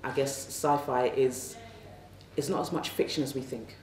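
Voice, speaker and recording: A woman on a festival panel, speaking thoughtfully and personally about writing, slowing on key phrases. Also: rumbling low, fairly even intonation, a little mellow. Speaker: panelist, novelist. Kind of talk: reflective panel talk. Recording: echoey room, mic at a distance, faint ambience.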